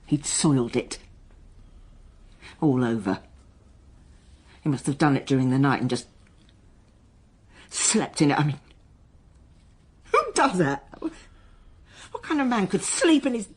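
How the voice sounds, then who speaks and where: A husky woman getting grilled by police, radio play, defensive n halting, voice jumps around a lot. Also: low-pitched, expressive, exaggerated voice. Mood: exasperated. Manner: halting, emotional, bristling. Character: strained, defensive schoolteacher daughter under police questioning. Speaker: actor in a radio drama. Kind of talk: naturalistic radio drama dialogue.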